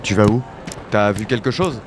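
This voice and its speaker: An actor playing a blunt, combative veteran who leads adventurers, speaking curtly in an audio drama. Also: male voice, fairly low pitch, animated, moderate pace, close to an everyday voice. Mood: brusque. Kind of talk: French audio drama acting. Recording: roomy echo, faint noise.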